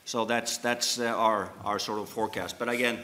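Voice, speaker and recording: Bright-voiced man on a panel. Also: lowish voice, fairly even intonation, normal speed. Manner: repeats words as he restarts. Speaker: conference panelist. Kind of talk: panel discussion answer. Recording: echoey conference hall.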